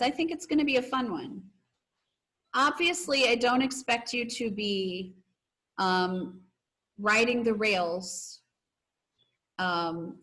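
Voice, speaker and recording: A woman's voice: mid-range voice, a bit bright. A woman teacher with an encouraging way of talking, speaking to her pupils as she would in a read-aloud class. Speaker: teacher reading aloud and leading class discussion. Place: online video class. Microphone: video call.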